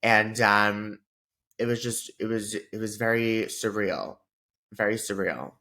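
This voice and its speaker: A man's voice: on the low side, a little slow, pushed and bright. A man in his thirties co-hosting a podcast, rambling casually with lots of filler words. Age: 30s. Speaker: podcast co-host. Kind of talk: casual chat.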